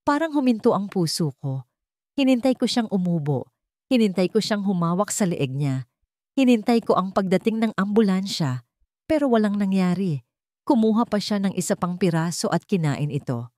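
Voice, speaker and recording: A woman's voice: medium pitch, lively intonation, moderate pace, a little mellow, no echo, clean studio sound. A woman with a Filipino accent reading a dramatic first-person story as an audiobook narrator.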